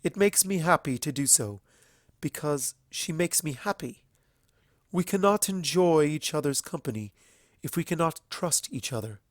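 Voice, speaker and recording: Male narrator as regal mare princess, kind, solemn, measured, raised pitch, dry close sound, storybook fantasy. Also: lively intonation, no room sound, natural voice. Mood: tender. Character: regal female alicorn pony princess, kind and earnest. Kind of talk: fan fiction storybook reading.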